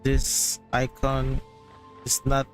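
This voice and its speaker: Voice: medium pitch, completely flat delivery, drawn-out words, soft background music. A game streamer with a Filipino accent and Tagalog as first language, commenting casually on a livestream. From Philippines.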